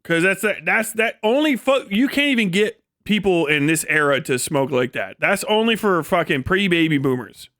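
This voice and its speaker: A man live streaming, rambling comedically and casually to his chat with lots of swearing, folksy slang and animated, exaggerated storytelling. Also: sits high, moderate pace, hardly any pauses, no room sound, studio quality. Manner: profane, folksy slangy phrasing.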